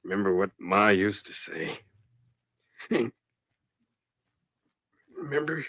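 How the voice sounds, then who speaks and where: An actor playing a wounded young outlaw, weak and gasping, husky and pleading, in old radio western style. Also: male voice, fairly low pitch, animated, takes it slowly, bright, forceful tone, dry recording, highs cut off, exaggerated voice.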